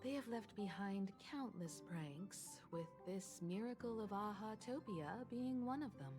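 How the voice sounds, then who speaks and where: An actress voicing a composed, knowledgeable woman mentor in a story game, speaking in measured, solemn exposition. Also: medium pitch, animated, close to an everyday voice. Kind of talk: scripted game story dialogue. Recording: loud background music. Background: game music.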